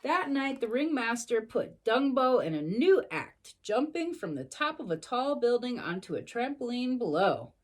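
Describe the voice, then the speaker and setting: A woman's voice: a little high, big pitch swings, moderate pace, hardly any pauses. A woman reading a storybook to young children expressively, adding sympathetic little asides.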